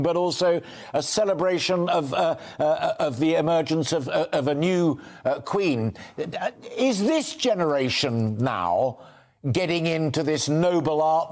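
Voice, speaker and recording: A man's voice: fairly high pitch, darkish tone. A middle-aged man with a British accent interviewing on a live news broadcast in an effusive, wordy, emphatic manner.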